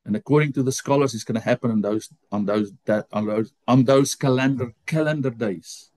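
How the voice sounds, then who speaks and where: A man with an Afrikaans accent, whose first language is Afrikaans, teaching a Bible college lesson over a video call. He reads scripture aloud slowly and often stops to correct himself. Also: mid-range voice, darkish tone, no echo.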